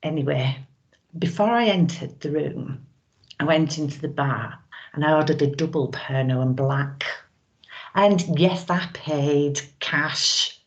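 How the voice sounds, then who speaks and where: Brazen middle-aged widow, played by a woman, low-voiced, suggestive and wistful, theatrical monologue, dull home recording. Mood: dramatic. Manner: knowing, suggestive, with drawn-out pauses.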